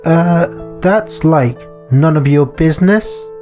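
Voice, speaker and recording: A man's voice: on the high side, takes it slowly, a little mellow, soft background music, pitched above the performer's own voice. A man voicing a rude, defiant teenage girl in a visual novel, snappy and dismissive. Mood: sullen, defensive.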